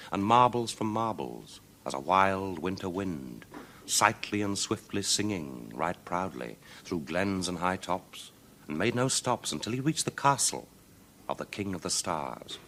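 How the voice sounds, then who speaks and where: A man's voice: low voice, very animated, measured pace, a bit bright, slight background noise. A man narrating a children's folk tale in a theatrical, declamatory and rhythmic way. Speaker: storyteller. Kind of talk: dramatic children's storybook narration.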